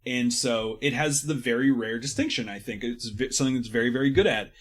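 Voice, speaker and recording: A man's voice: medium pitch, fairly quick. A man talking effusively and casually about books as a podcast guest, fond of hyperbole. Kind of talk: casual book discussion.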